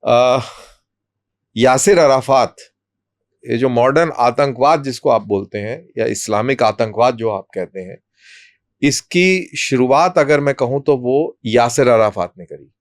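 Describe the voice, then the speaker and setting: A man speaking as an interview guest, explaining in measured, deliberate short phrases with pauses. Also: mid-range voice, no room sound, clean studio sound. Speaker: army colonel, interview guest.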